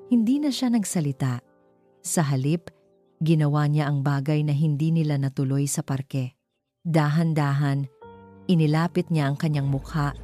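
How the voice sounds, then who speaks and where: Low, mellow woman narrating romance over music. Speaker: storyteller. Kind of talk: scripted dramatic romance narration. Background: background music.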